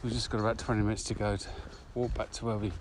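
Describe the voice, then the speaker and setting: A man's voice: lowish voice, flat delivery, rapid-fire. A man co-hosting a casual podcast while walking in a field, teasing and dry-humored. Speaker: podcast co-host. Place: open grassy field outdoors. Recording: outdoor noise, birds, wind.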